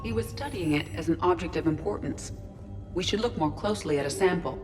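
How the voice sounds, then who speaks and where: A woman's voice: lowish voice, music in the background, natural voice. An actress playing a composed, precise female artificial intelligence in a video game, speaking formally and matter-of-factly. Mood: composed, analytical. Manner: measured, formal, matter-of-fact. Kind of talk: game cutscene dialogue.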